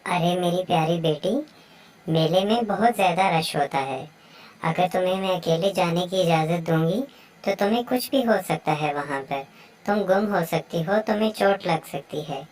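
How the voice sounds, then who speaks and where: Woman voicing caring, strict cartoon mother bird, lowish voice. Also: normal speed, a little mellow, recorded from a distance, close to an everyday voice. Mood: worried, affectionate. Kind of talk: children's moral story cartoon dubbing.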